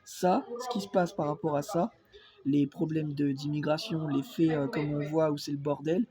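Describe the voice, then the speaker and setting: A man's voice: on the high side, steady tone, moderate pace. Stuffy-nosed man rambling with crowd noise. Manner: hesitant, many fillers, insistent. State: has a cold. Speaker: vlogger. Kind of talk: rambling opinion vlog.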